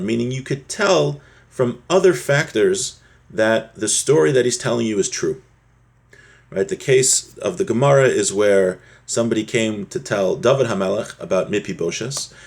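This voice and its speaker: A man with a husky voice giving an informal religious lesson, explaining a text step by step and often rephrasing his points. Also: fairly low pitch. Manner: repeats and rephrases, checks in with "right?". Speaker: religious teacher giving a class.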